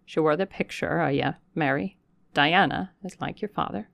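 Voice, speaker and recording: A woman voicing a blunt, suspicious old female servant who speaks in a broad with a gruff, distrustful manner. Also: low-pitched, normal speed, fairly bright tone, no echo. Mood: fond, mournful. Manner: gruff, distrustful, plain-spoken.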